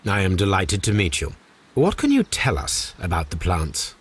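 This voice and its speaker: An actor playing an eccentric, cerebral Victorian English gentleman detective in a video game, speaking formally with precise, dry wit. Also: low voice, wildly swooping pitch, moderate pace, breathy edge, heavily acted. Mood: polite, inquiring. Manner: formal, precise, dryly witty.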